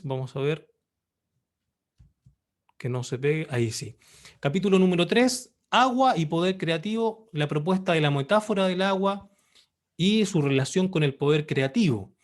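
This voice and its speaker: A Chilean man in his thirties speaks at a slightly raised pitch, presenting his book online. His delivery is formal and measured, with pauses and hesitations between phrases. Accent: Chilean Spanish. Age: 30s.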